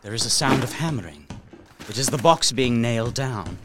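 A man's voice: medium pitch, animated, lightly acted. Theatrical, desperate young captive, acted by a man. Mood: hushed dread. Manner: theatrical, intense, deliberate archaic diction. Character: young Englishman, terrified desperate captive writing his journal. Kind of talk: dramatic gothic radio play monologue. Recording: music under the voice.

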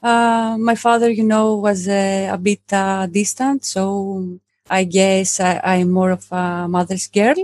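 A woman's voice: mid-range voice, level tone, slow pace, darkish tone. A woman from Greece with a Greek accent, a podcast guest telling her story with many hesitations and fillers. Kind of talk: casual interview talk.

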